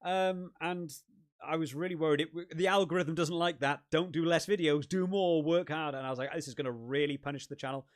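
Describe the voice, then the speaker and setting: A man from the UK hosting a rugby gaming live stream, chatting and rambling with lots of restarts, his voice wearing out and going hoarse. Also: on the high side, fairly even intonation, fairly quick. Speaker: rugby gaming channel host. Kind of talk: casual live stream chat.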